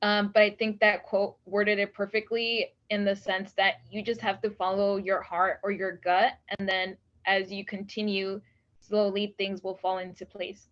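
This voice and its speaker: A woman moderates an online panel, gentle and informal, with a casual "like" here and there. Her pitch is mid-range. The sound is slightly dull, like video call audio. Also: level tone, normal speed.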